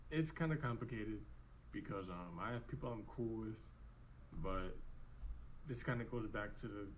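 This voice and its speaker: Man in his twenties, low-pitched, halting casual monologue, filler words, faint background noise. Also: low-quality recording. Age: 20s. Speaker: vlogger in clip.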